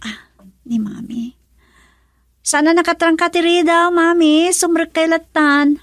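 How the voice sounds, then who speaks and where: A woman's voice: very high voice, measured pace, no echo. An actress with a Filipino accent playing a weary, plaintive young female student in a radio drama. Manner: plaintive, tired. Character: weary female student talking with her mother.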